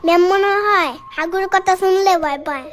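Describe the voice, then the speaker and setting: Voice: very high voice, brisk, fairly bright tone, a little hiss or hum. A performer voicing a small, blunt, naive schoolchild in a children's cartoon, with music under the voice. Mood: naive, curious.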